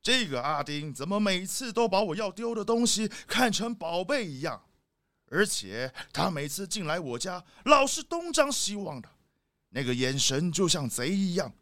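A man's voice: sits high, sing-song, moderate pace, bright, forceful tone, no echo, full character voice. A man playing a vain, impatient rich leopard in a children's audio drama, self-important and dismissive. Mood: dismissive, annoyed. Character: vain, impatient, rich male leopard big shot, a father.

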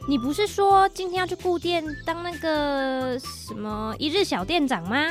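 A woman playing a brisk, nagging but caring mother in a radio drama, with a Taiwanese Mandarin accent. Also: high voice, music in the background, close to an everyday voice. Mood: hurrying. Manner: bossy, coaxing.

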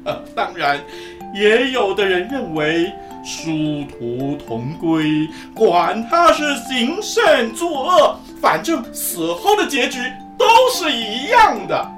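A woman playing an expressive, theatrical narrator in an audio drama who muses and exclaims about heaven. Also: mid-range voice, slow pace, few pauses. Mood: musing. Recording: roomy, distant, music behind.

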